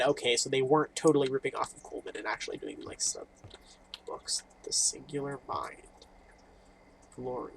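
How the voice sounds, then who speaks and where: A man's voice: mid-range voice, gravelly, a little hiss or hum, low-quality recording. A man hosting a gaming video, casually reading game text aloud and reacting with informal, muttered asides. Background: game music.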